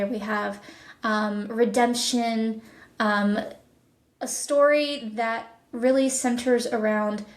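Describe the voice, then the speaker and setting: A woman speaking as an interview guest and producer, pitching a project conversationally with enthusiasm and thoughtful pauses. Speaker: podcast guest, audio drama producer. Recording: echoey, roomy sound.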